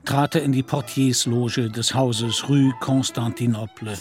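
A man with a medium-pitched voice narrates a literary radio play. He reads in measured, complete storytelling sentences, with music clearly audible behind him. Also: fairly even intonation.

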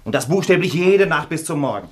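An actor in a radio drama playing a practical young friend, blunt and reproachful out of exasperated concern. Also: male voice, on the high side, lively intonation, fairly quick, a little mellow, natural voice. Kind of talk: German radio drama acting.

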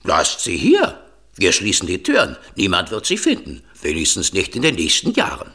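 A man's voice: deep voice, wildly swooping pitch, normal speed, sharp tone, slightly rough, full character voice. A man playing a callous, scheming henchman of a villain in an audio drama.

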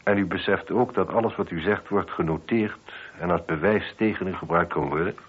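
Composed inspector, radio play, very low register, measured formal questioning, dull old broadcast sound. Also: male voice, husky. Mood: formal, probing. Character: composed, probing male police inspector. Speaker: voice actor. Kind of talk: Dutch radio play acting.

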